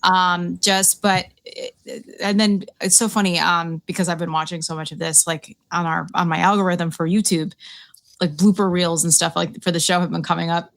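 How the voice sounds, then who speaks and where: A woman's voice: lowish voice, flat delivery, normal speed, creaky, a bit bright. A woman chatting casually as a podcast panelist, talking in loose, rambling sentences full of fillers. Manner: chatty, many fillers and repeats. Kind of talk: casual chat.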